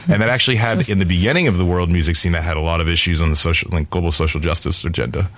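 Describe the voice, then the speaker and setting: A man's voice: sits low, fairly even intonation, very fast, fairly bright tone, no room sound. A man, founder of a music nonprofit, explaining his mission at length in an interview, earnest and expansive with many fillers. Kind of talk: interview conversation.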